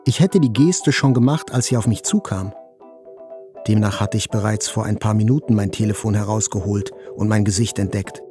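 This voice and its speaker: An actor playing an attack survivor, telling events in a sober, precise, scripted literary narration. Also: male voice, fairly low pitch, normal speed, over music, lightly acted. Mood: sober, detached.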